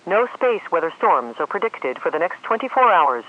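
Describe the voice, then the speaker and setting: Automated bulletin reader, mid-pitched and formal, swinging intonation, narrow telephone-like radio audio. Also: sing-song, normal speed. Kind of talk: scripted technical bulletin reading. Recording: tinny, narrow phone-like radio sound.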